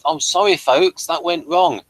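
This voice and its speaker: A man's voice: on the high side, unhurried, dry recording. A man hosting a casual review discussion, speaking in a hesitant, rambling and apologetic way. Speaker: host of a TV review show.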